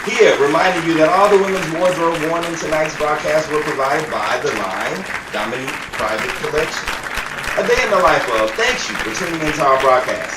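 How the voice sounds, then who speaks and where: A male announcer reads a scripted radio-style sign-off. His delivery is animated. The sound is echoey and distant, with crowd noise. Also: a little high, brisk. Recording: echoey distant room, noisy audience ambience.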